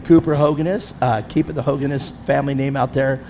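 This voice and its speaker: A man's voice: mid-range voice, fairly even intonation, quick pace, creaky, background noise. A man in his fifties announcing an off-road race live from the grandstand, chatty and self-deprecating with frequent laughs. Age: 50s. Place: grandstand at an outdoor race track.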